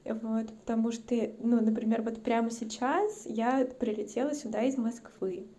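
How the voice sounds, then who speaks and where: A woman's voice: on the high side, moderate pace, dark tone. A woman from Russia's North Caucasus, speaking as a podcast guest in a reflective, casual chat.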